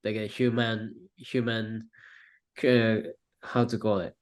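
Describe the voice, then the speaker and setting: Low-voiced young Japanese-accented man. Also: flat delivery. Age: young adult. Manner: halting, many fillers and restarts. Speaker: guest, film student. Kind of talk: casual interview answers.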